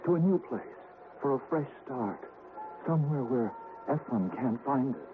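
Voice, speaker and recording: An actor playing an anxious, ardent young secret lover, earnest and urgent, in a 1970s radio drama. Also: male voice, mid-range voice, lively intonation, moderate pace, close to an everyday voice. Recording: muffled old radio recording, loud music.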